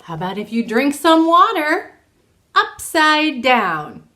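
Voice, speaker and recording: A woman voicing a helpful, playful ghost in a children's storybook, giving drawn-out, emphatic commands. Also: high-pitched, very animated, sharp tone, voice pushed up, exaggerated voice. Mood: coaxing, instructing.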